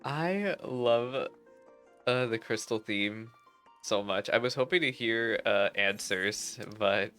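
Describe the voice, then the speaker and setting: A man's voice: medium pitch, takes it slowly, sharp tone, smooth. A sleepy man chatting casually and self-deprecatingly as he comments on a game he is streaming. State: sleepy, just woke up. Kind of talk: casual livestream commentary over gameplay. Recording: music behind the voice. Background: game music.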